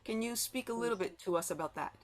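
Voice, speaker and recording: A woman's voice: a little high, fast talker. A woman moderating a panel discussion, whose first language was Spanish, talking with many fillers and a habit of ending points with "right?". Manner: frequent "um" and tag "right?".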